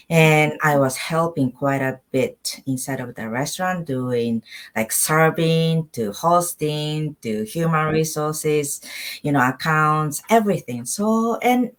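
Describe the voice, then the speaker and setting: Low-pitched middle-aged woman from Japan. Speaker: interview guest. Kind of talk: personal storytelling in an online interview.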